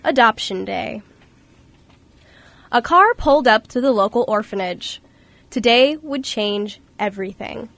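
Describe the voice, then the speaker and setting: Woman narrator for amateur radio drama, fairly high voice, reading from script. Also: a bit bright, no room sound. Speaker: story narrator.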